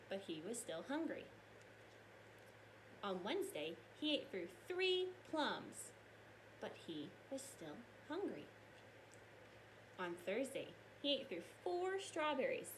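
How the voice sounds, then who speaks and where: A woman teacher reading aloud and explaining science to young children in an expressive, explanatory way. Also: on the high side, slight background noise, low-quality recording.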